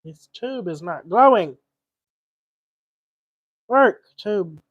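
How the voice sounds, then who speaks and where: A man's voice: high voice, animated, drawn-out words, dry recording, dull audio. A man thinking aloud in short muttered fragments, giving casual live commentary as he does repair work. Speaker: livestream host repairing a vintage radio.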